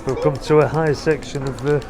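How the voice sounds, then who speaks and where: A man's voice: medium pitch, fairly even intonation, fairly quick, a crowd in the background. A man hosting a family vlog, giving a loose, chatty walking tour inside a theatre while filming on a handheld camera. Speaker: family vlogger host. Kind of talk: casual walking tour narration. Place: inside a theatre, foyer and private box.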